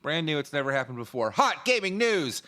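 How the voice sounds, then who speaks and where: A man's voice: on the high side, big pitch swings, a little fast, bright, forceful tone. A man co-hosting a gaming podcast, joking around with a dry, sarcastic edge. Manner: jokey, sarcastic. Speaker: podcast co-host. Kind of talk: casual chat.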